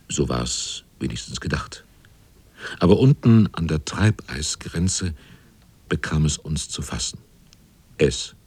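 A grave seafarer narrating a radio drama. The voice is extremely deep and rough, recorded close and dry. He delivers literary lines in short, measured, dramatic phrases. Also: a little mellow, no echo, exaggerated voice. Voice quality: very deep, husky. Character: grave seafarer recounting a hard voyage.

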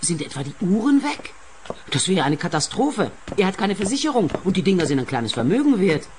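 Bitter, swooping, scornful daughter. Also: female voice, on the low side, big pitch swings, fairly quick, background noise. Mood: nosy, grasping. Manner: indignant, cutting, dramatic. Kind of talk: radio comedy-crime acting.

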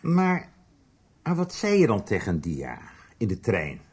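A guarded, knowing older man in a radio soap. His low voice is husky and very animated in melody. He probes with measured questions. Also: heavily acted. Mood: intrigued, guarded. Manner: probing, deliberate. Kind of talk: Dutch radio soap acting.

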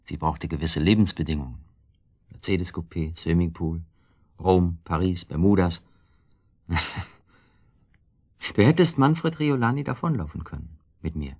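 Guilt-worn husband, deep husky voice, halting, bitterly ironic, radio drama. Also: male voice, darkish tone. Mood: bitter, reflective. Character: guilt-worn, brooding husband in a murder plot. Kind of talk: German radio drama acting.

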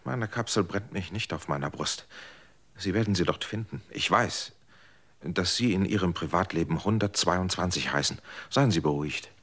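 A man's voice: deep voice, normal speed, fairly bright tone. An actor playing an earnest man who asks probing questions and lectures in a radio drama.

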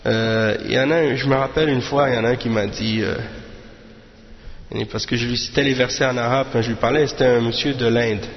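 A man of average pitch gives an informal religious lesson, speaking hesitantly and often stopping to restart a sentence. The recording is dull and lo-fi, with a long reverb that makes the room sound large and echoey. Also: fairly even intonation, a little fast. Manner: hesitant, with many restarts and Arabic fillers. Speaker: religious teacher.

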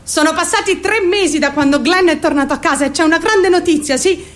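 A woman's voice: extremely high pitch, fairly quick. An actress playing an obsessive, unstable young woman in a theatrical radio monologue, confiding and blunt, shifting abruptly and seeking agreement. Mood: proud, unhinged.

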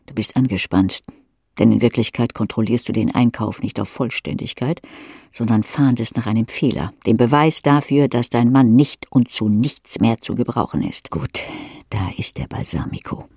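Female audiobook narrator, husky voice, dramatic literary read, very dry recording. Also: very deep voice, normal speed, warm tone, no echo. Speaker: audiobook reader. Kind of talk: scripted audiobook narration in second person.

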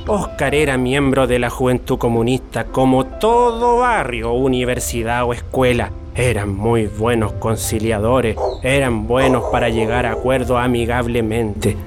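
An actor playing an earnest, talkative veteran Chilean union leader in a radio drama. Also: male voice, medium pitch, a little slow, hardly any pauses, over music, natural voice. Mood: reminiscing, earnest. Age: older.

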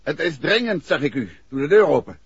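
An actor playing a decisive, commanding gentleman detective in a 1960s radio drama. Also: fairly high pitch, sing-song, fairly quick, slightly rough, dry recording, heavily acted. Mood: insistent. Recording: dull vintage radio drama recording.

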